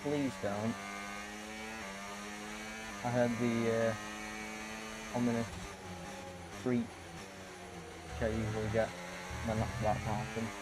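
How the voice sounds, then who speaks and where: A man's voice: fairly low pitch, little pitch movement, slow pace, long pauses. A man chatting casually while playing a racing game. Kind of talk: casual gameplay chat. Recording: noisy, humming background.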